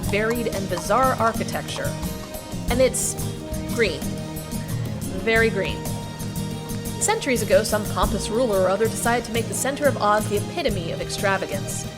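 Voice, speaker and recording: A woman's voice: on the high side, close to an everyday voice. Young heroine, played by a woman over music. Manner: conversational, wry. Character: young heroine narrating her adventure, wry and wondering. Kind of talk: first-person audio drama narration and dialogue.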